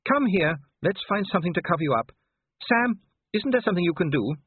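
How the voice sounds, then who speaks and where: Guy doing a kindly motherly lady, brisk, much higher pitch, audiobook, tinny sound. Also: lively intonation, slightly rough, no echo. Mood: concerned, brisk. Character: motherly upper-class wife, kindly, briskly expectant.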